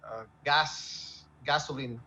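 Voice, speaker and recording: A man's voice: mid-range voice, steady tone, slow, sharp tone. A man casually explaining things as a co-host, hesitating, repeating words and checking in with tag questions. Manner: checks with "right?", repetitive, hesitant. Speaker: radio co-host. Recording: dull narrow audio, faint background noise.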